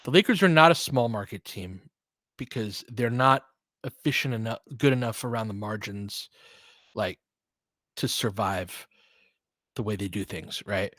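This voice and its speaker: A man's voice: on the low side, unhurried, pauses often, a bit bright, no room sound. Man calling basketball, dry close sound. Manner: conversational, opinionated asides. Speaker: play-by-play commentator and host. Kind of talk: casual live basketball commentary with fan chat.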